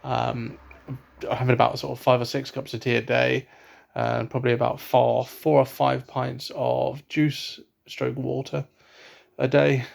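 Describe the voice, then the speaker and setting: A man's voice: mid-range voice, flat delivery, a little slow, vocal fry. A young man from England talking at home in a casual vlog, hesitant, with many ums and restarts. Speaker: vlogger. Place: at home, indoors. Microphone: laptop webcam.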